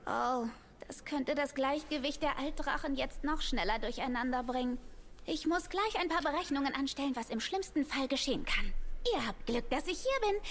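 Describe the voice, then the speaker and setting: A perky little inventor from a video game, chattering excitably in a high, swooping voice. She is cheeky and teasing, with faint noise around her. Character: perky, cheeky young female gnome-like inventor.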